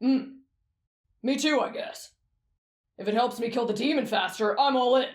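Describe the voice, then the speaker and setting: A woman voicing a brash, hotheaded teenage boy who talks gruffly and bluntly. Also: on the high side, normal speed, lightly acted. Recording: roomy echo.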